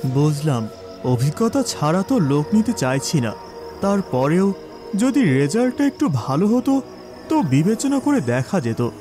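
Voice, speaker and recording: A man acts out an arrogant, sleazy office boss from a cartoon thriller. His voice is high for a man and swings widely as he condescends and scolds. Music plays clearly behind him. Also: big pitch swings, unhurried. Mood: condescending. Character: sleazy, arrogant, bullying male boss taking a job interview. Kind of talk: Bengali animated thriller acting.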